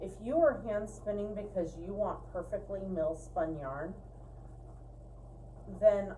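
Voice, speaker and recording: Bright-voiced woman chatting through a tutorial. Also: medium pitch, slow, a little hiss or hum, low-quality recording. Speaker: co-host of a fiber arts livestream. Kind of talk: casual spinning tutorial chat.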